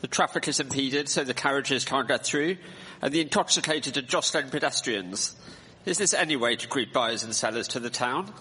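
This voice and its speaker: Reproving male citizen played by a man, forceful bright voice, formal, radio play with long hall reverb. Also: medium pitch, animated, moderate pace, slightly rough. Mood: indignant. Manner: formal, indignant. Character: indignant, reproving townsman complaining of blocked traffic. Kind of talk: live radio drama acting. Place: performing arts centre stage. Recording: hall reverb, faint noise and clicks.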